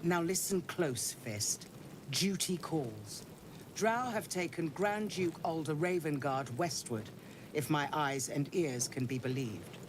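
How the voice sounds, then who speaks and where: An actress playing a commanding, formal city counselor who speaks in an authoritative, measured way. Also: female voice, low voice, expressive, noisy background.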